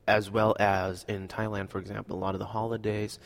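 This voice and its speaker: Young man from Indonesia, low-pitched, hesitant interviewee with reverb. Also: little pitch movement, brisk, gravelly. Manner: hesitant, many fillers. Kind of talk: casual conversation.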